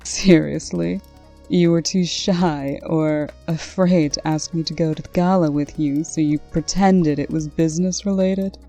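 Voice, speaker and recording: A woman playing a stern, brisk official in a comic dub, speaking with dry, scolding authority. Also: low voice, steady tone, dark tone, faint music behind. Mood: scornful, rebuffing. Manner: crisp, authoritative, dryly scolding. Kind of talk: scripted comic-dub acting.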